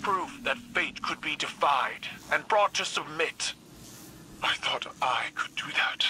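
An actor voicing a proud, defiant alien ruler, speaking in a firm, measured way in a game cutscene. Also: male voice, on the high side, animated, sharp tone, slight background noise, heavily acted, rough recording. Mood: reflective. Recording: game cutscene with music behind.

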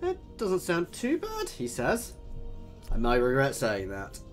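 A man in his thirties commentating a strategy game, husky and tired from a cold, chatty and thinking aloud with lots of ums. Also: mid-range voice, wildly swooping pitch, unhurried. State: hoarse with a cold. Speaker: gaming video host. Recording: voice over game music.

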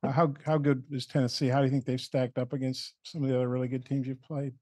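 A man's voice: mid-range voice, level tone, fast talker, mellow, no room sound. A man working as a reporter, asking rambling, conversational questions at a press conference. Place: indoor press room.

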